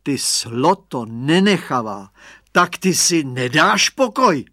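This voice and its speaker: Storybook gardener dad, acted by a male narrator: stern yet good-hearted, scolding, lively swings in pitch, dry recording. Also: no echo, exaggerated voice. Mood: menacing. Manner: menacing scolding, loud calling. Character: stern but kindly father, a gardener.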